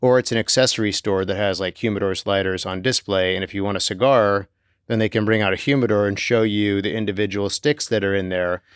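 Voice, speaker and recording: Low, smooth-voiced man, chatting casually. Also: steady tone, a little fast, no echo, studio quality. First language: Turkish. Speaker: podcast co-host. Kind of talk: casual chat.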